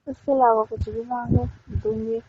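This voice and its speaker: High-voiced woman on a tinny phone line. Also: level tone, normal speed, a little hiss or hum.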